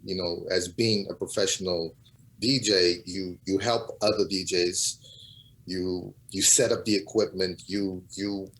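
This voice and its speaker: Low-voiced middle-aged man from Portugal. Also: fairly even intonation, unhurried, a bit bright, rough recording. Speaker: interview guest, DJ. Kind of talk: reflective interview answers.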